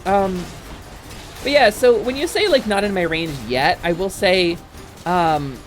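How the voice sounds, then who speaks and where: Lively, chatty woman streamer. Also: lowish voice, a little slow, faint music behind. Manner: chatty, excitable, swears freely, frequent fillers and restarts. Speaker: game streamer. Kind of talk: casual chat while streaming a game.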